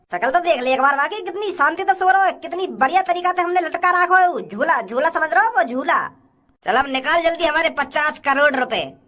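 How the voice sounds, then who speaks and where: A high-pitched cartoon bandit boss acted by a woman, greedy and full of himself. He taunts and gloats in a theatrical, stretched-out way, menacing yet comic. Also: a bit bright. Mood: mocking. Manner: taunting, drawn-out, sing-song gloating. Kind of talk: comic cartoon villain dialogue.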